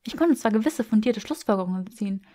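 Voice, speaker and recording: A woman's voice: mid-range voice, lively intonation, quick pace, mellow, lightly acted. A woman voicing an eager, bookish young woman for an audiobook, breathless and emphatic as she gushes. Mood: excited, insistent. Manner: breathless, gushing, emphatic delivery. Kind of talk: acted audiobook dialogue.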